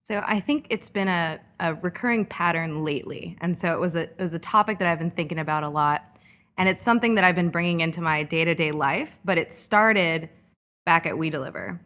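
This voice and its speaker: A woman in her thirties from California, a startup founder speaking as a guest in a podcast interview, reflective and animated in her anecdotes, with frequent fillers. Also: fairly low pitch, fairly quick. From California, Orange County. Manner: reflective, lively when telling anecdotes, frequent "um" and "like". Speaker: guest entrepreneur.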